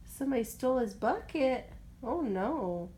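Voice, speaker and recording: A woman reading a picture book aloud to a child as a mother, in an expressive way. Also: a little high, measured pace, a little hiss or hum. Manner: expressive, drops to whispers for goodnights. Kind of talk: storybook read-aloud.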